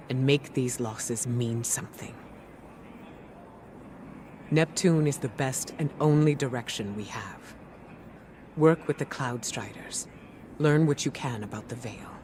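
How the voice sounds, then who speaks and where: An actor playing a stoic, resolute male mentor in a sci-fi game, giving a solemn speech in a deliberate, earnest manner. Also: medium pitch, a little mellow, lightly acted. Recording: faint ambient noise behind.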